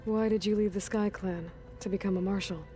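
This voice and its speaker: A woman's voice: mid-range voice, fairly even intonation, a little fast, dark tone, faint music behind, natural voice. An actress playing an earnest young woman warrior in a video game, direct and plain-spoken. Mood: curious. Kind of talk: naturalistic video game acting.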